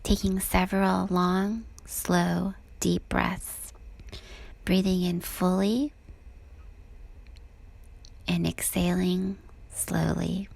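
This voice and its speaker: A woman with a soft, breathy voice guides a body scan meditation in a calm, gentle, unhurried manner. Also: fairly low pitch, poor audio.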